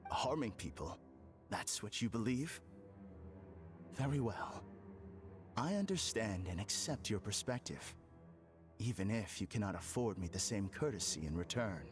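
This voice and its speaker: An actor playing a calm, formal, smugly polite villain in an anime video game, with theatrical delivery. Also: male voice, lowish voice, a little slow, long pauses, music in the background. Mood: condescending. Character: calm, polite, smug male villain claiming perfected happiness.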